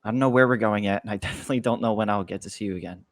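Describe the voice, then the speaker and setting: A man's voice: on the low side, rapid-fire, no echo, close to an everyday voice. Man playing a scared young college guy, dry sound. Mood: scared, sad. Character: frightened, guilty young college student son on the run. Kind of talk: table read of a screenplay.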